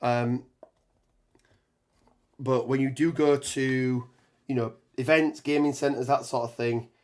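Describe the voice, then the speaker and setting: A man with a hoarse voice from a cold, chatting on a live stream as he builds. He rambles, restarts sentences and fills pauses with ums. His pitch sits in a middle range. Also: steady tone. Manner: rambling, many ums and restarts, reads chat aloud. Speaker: tabletop gaming streamer. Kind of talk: casual live stream chat while building terrain.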